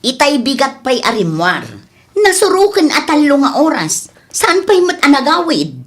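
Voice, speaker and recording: Actress as exasperated scolding wife, high-pitched, Ilocano accent, radio comedy drama style. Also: female voice, very animated, moderate pace, a bit bright. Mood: worried. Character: fed-up young wife, scolding her husband.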